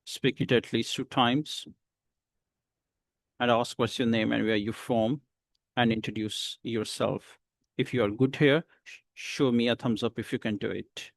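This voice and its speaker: A male teacher talks slowly and patiently to beginners, pausing often between short phrases. His voice is gravelly with a lot of fry, and the recording sounds dry and close. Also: mid-range voice, steady tone, no echo. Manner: slow, patient explaining with many pauses. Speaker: English teacher. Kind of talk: beginner English lesson.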